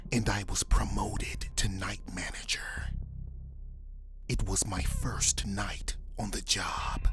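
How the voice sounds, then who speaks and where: A man whispers his story slowly and deliberately, keeping it hushed from start to finish. His pitch sits in a middle range. Music plays clearly underneath.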